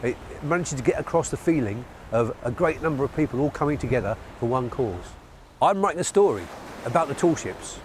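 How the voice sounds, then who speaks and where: A man from Cornwall speaks as a writer in an interview. His pitch is middling. He is outdoors on a quay, with light ambient noise behind him. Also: lively intonation, fairly quick. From Cornwall, England. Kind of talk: interview piece to camera. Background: faint outdoor ambience.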